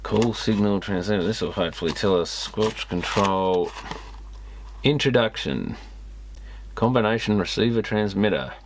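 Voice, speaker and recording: A man's voice: fairly low pitch, expressive, moderate pace, a little hiss or hum. A man hosting a repair video, casually commenting and reading a manual aloud with muttered asides. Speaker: host of an electronics repair video channel.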